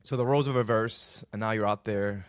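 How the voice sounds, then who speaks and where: A man's voice: on the low side, fairly even intonation, a little fast, no room sound. A middle-aged man from Massachusetts, hosting an interview and asking long, rambling questions. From Massachusetts, US, then Florida. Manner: chatty, rambling questions, friendly. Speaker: podcast host. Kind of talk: casual interview talk.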